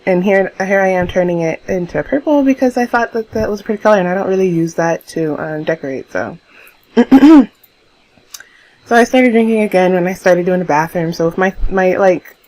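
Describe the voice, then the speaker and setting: A young woman talking casually over her game build in a rambling, chatty way, laughing often, her voice hoarse. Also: lowish voice, poor audio. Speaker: YouTuber narrating a game build.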